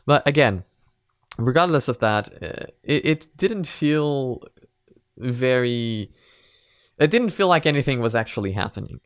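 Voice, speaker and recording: A man's voice: medium pitch, animated, measured pace, dry recording, clean studio sound. A man giving casual, unscripted reaction commentary as a video reviewer, speaking hesitantly, rambling and repeating himself. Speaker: video reviewer reacting to an animated parody series.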